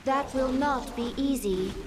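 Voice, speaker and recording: A woman voicing a dutiful alien aide in a sci-fi video game, making formal, measured battlefield announcements. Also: high voice, crowd noise. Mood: dutiful, alert. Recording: game music behind.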